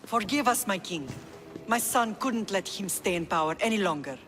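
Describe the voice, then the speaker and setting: A woman's voice: mid-range voice, expressive, normal speed, a bit bright, soft background music, lightly acted. An actress playing a proud, middle-aged Spartan mother, composed, commanding and protective, in a video game. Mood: measured.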